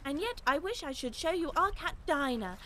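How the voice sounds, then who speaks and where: A woman's voice: high-pitched, very animated, moderate pace, pushed and bright, slight background noise, heavily acted. A woman playing a polite, curious little girl in an audiobook, earnest and musing aloud. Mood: eagerly chatty. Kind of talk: full-cast audiobook acting.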